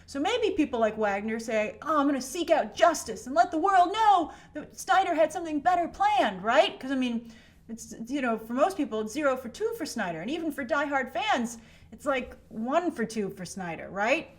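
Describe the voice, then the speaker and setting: Higher-pitched woman giving emphatic commentary. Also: lively intonation, normal speed. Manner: emphatic, conversational, with rhetorical check-ins. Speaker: YouTube host and film commentator. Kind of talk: opinionated commentary to camera.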